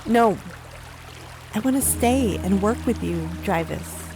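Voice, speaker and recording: A woman's voice: mid-range voice, lively intonation, slow, music in the background. A woman acting a brave, defiant young runaway turned gang member, spirited and determined, in a fantasy audio drama.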